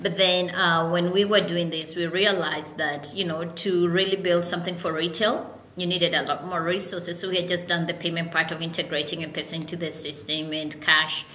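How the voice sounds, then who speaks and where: A woman's voice: on the low side, fairly even intonation, moderate pace, few pauses, pushed and bright, roomy sound, slight background noise. A Kenyan woman in her forties, a company founder telling her story in a casual interview, laughing often. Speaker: founder and CEO guest.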